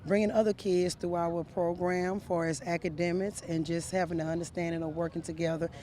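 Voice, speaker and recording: A man's voice: fairly high pitch, flat delivery, brisk, warm tone, a little hiss or hum. A man who coaches a youth track program, answering interview questions outdoors at a meet, earnest and enthusiastic.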